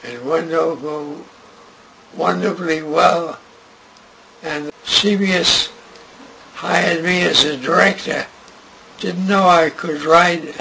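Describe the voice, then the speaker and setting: An older man recalling his radio career in an interview, speaking haltingly and restarting often. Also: a little high, steady tone, vocal fry, a crowd in the background. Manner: slow, halting, with many restarts. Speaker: interviewee, radio writer and director. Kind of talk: reminiscing interview. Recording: noisy recording.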